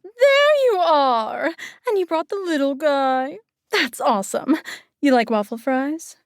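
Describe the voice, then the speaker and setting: Woman voicing a high, bouncy, slow-drawling church lady in an audio drama, gushing. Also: animated, no room sound, clean studio sound, full character voice. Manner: gushing, giggly, sing-song. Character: bubbly overeager woman, church volunteer.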